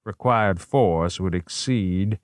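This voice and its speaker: A man voicing a genteel, erudite male investigator in a measured, formal, wry manner, in an audiobook. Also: deep voice, dry recording, studio quality. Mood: calm, wry.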